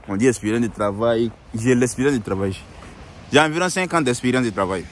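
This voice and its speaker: A young man talks straight to the camera, earnest and insistent, repeating words and restarting as he gives advice. His voice sits at an ordinary middle pitch. Faint car and street noise hums behind him outdoors. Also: a little fast. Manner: earnest, repetitive, with many restarts and fillers. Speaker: vlogger giving advice. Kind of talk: casual advice monologue.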